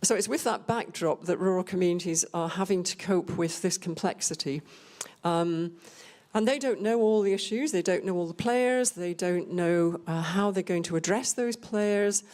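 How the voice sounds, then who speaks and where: Older female panel speaker from Scotland, slightly low voice, measured and explanatory delivery. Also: darkish tone. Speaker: panel speaker on rural communities. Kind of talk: unscripted panel presentation.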